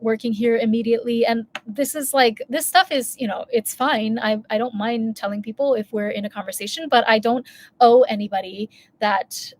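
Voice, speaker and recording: A woman's voice: fairly high pitch, steady tone, moderate pace, a little mellow. A woman, telling a long candid story in a casual vlog, full of asides and self-corrections. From United States. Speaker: vlogger.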